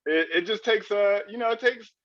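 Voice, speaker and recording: A man's voice: high-pitched, normal speed, a bit bright, no echo. A young man, a student, chatting casually and explaining in an informal, repetitive way. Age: young adult. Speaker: student writer's assistant. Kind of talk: casual chat. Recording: dull, dry lo-fi microphone.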